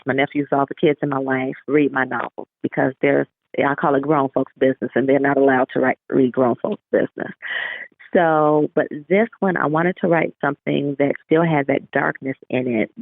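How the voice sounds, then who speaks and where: A woman's voice: sits low, gravelly, no echo. A woman author giving reflective, explanatory answers in a conversational interview over a phone line. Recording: tinny, narrow phone-like line.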